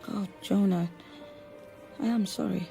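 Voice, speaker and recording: A woman's voice: medium pitch, fairly even intonation, slow pace, creaky, dark tone, faint music behind. An actress in a naturalistic radio drama playing a watchful undercover policewoman posing as a nanny, tentative and guarded. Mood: subdued.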